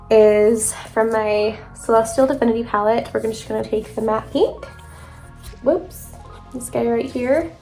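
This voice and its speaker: Young woman beauty vlogger, rambling and chatty, slightly stuffy nose, fry in a higher voice, soft music under. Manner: chatty, rambling, self-correcting. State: congested from allergies. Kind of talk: chatty makeup tutorial.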